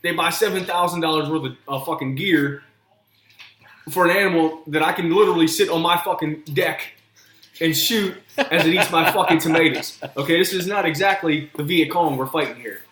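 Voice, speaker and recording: A man's voice: a little high, a little fast, fairly bright tone. A man co-hosting a casual podcast, ranting bluntly and sarcastically and swearing constantly. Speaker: podcast co-host. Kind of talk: profane casual rant. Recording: echoey room, faint noise.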